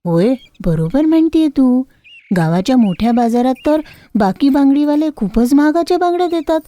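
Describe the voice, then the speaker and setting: Woman playing chatty, high-pitched village women shoppers. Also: expressive, moderate pace, mellow. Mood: appreciative. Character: friendly, appreciative village women customers. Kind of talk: storybook character voice.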